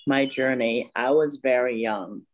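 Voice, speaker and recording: A woman's voice: a bass voice, unhurried, poor audio. A woman in her fifties, born in Vietnam, talking as an interview guest over a video call, with many "you know" fillers. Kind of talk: casual interview chat. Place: home room by a bright window.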